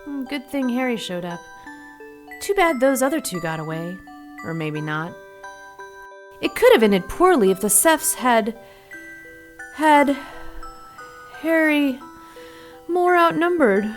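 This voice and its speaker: A woman playing a frightened, excitable young woman narrating a 1940s mystery radio drama. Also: high voice, takes it slowly, pauses often, faint music behind, exaggerated voice. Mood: uneasy.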